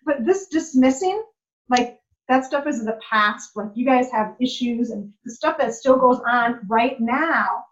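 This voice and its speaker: A woman's voice: a little high, expressive, measured pace, a bit bright, highs cut off. A woman who works as a life coach and healer, talking in a passionate, repetitive way in a casual conversation. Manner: impassioned, repetitive, many "like"s.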